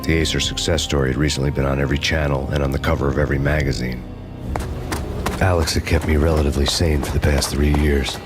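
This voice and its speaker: An actor playing a world-weary undercover cop who narrates a noir story in a dry, sardonic way. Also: male voice, very deep voice, little pitch movement, fast. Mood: grim. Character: grim hard-boiled male undercover cop narrating. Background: music.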